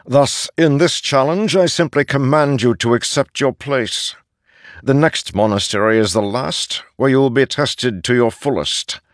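Man doing stern immortal herald, calm and imperious, slow measured delivery, lively pitch, dry close sound. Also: no echo, close to an everyday voice. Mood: coldly commanding. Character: solemn immortal male herald, calm and commanding.

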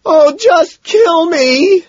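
A man voicing a lovesick, pathetically clinging man in an audiobook, high, wailing and tearful. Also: slow pace, darkish tone, husky, dry recording, lo-fi sound, full character voice. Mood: sobbing despair. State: crying.

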